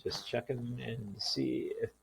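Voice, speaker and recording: A man's voice: mid-range voice, fairly even intonation, measured pace, gravelly, a little hiss or hum, poor audio. A man casually rambling through a product demo as a video host, talking to himself and joking at his own expense in a goofy way. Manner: goofy, self-deprecating joking; talks to himself while working. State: tired.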